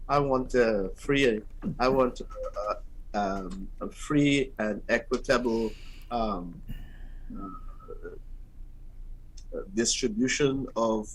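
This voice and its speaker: A man with a Guyanese accent speaks in a husky, slightly rough voice of middling pitch. He talks conversationally on radio, halting and measured as he builds his point. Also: poor audio.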